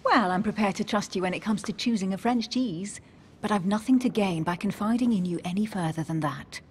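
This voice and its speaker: A woman's voice: mid-range voice, fairly quick, slight background noise, close to an everyday voice. An actress playing a guarded, witty, self-possessed woman of a secret society in a video game, composed and articulate. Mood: wry, guarded. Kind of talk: dramatic video game dialogue. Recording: music under the voice.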